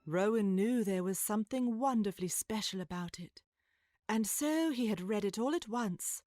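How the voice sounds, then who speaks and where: A woman's voice: medium pitch, moderate pace, mellow, no room sound, clean studio sound, natural voice. A woman narrating a scripted adventure story in an audio drama, in storybook style. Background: music.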